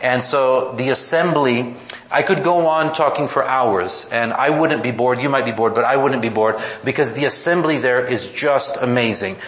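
A man's voice: medium pitch, moderate pace, fairly bright tone. A man who works as a missionary preacher, giving a rambling, self-deprecating report to a church congregation with many restarts. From born in the United States, raised in Mexico. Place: church meeting room. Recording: roomy hall echo.

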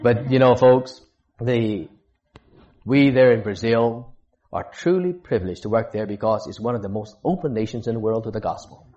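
A missionary preacher from Texas delivers a sermon in a church at an ordinary, middling pitch. He stops, restarts and hunts for words as he goes. The recording is dull and lo-fi. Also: warm tone. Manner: halting, with frequent restarts and searching for words.

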